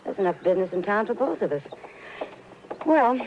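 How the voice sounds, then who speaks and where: A woman's voice: mid-range voice, lively intonation, brisk, close to an everyday voice. A woman playing a cool, self-assured saloon keeper with a wry, teasing manner in an old-time radio western. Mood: catty, teasing. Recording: muffled old radio recording, faint noise.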